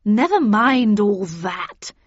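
A woman voicing an imperious, impatient older lady with a commanding, brisk and formally polite manner. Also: fairly high pitch, sing-song, no room sound, highs cut off, pitched above the performer's own voice.

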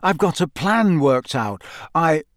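A man voicing an uneasy, reluctant teenage boy in an audiobook, with a hesitant, halting way of speaking. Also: on the high side, very animated, no room sound, clean studio sound, pitched above the performer's own voice. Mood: nervous, evasive. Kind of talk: acted audiobook dialogue.

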